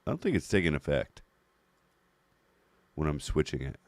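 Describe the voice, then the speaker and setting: Man, extremely deep voice, dry close sound, hesitant, filler-heavy. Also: very animated, normal speed, darkish tone, no room sound. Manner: hesitant, thinking aloud with many fillers. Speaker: streamer. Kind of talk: casual talk while testing voice settings.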